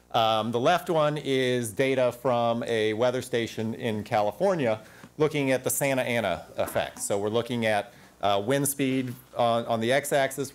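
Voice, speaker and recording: A man in his forties giving an academic panel talk in a conference room on a lapel mic, animated and full of restarts. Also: mid-range voice. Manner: animated, gesturing at slides, frequent restarts.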